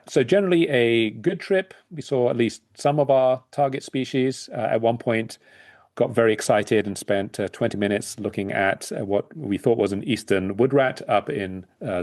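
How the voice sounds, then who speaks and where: A man's voice: mid-range voice, animated, moderate pace, darkish tone. Male podcast host in easy conversation. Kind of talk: casual conversational podcast hosting.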